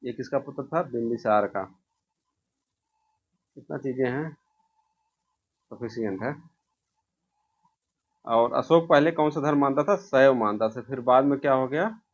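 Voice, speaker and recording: A man's voice: medium pitch, measured pace, pauses often, lo-fi sound. A man from India teaches an informal exam revision lesson, posing questions, answering them himself and repeating key answers.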